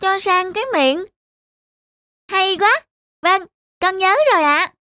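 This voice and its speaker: A woman's voice: extremely high pitch, slow, sharp tone, no room sound, clean studio sound, full character voice. A woman voicing a naive, obedient little village boy in a folk-tale cartoon, innocent and earnest. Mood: curious. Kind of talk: animated folk-tale acting.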